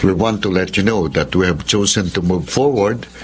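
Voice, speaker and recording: Man acting a businesslike interviewer, lowish voice, formal, measured, radio drama, faint background noise. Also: lightly acted.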